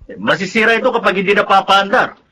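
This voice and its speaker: A man with a Filipino accent playing a practical family man in a radio drama, brisk and matter-of-fact. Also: high voice, sharp tone.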